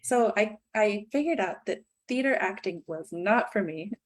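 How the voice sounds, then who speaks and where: A woman with a very quiet voice, a filmmaker guest talking candidly in a halting way with many fillers. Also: mid-range voice, lively intonation. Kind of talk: casual remote interview.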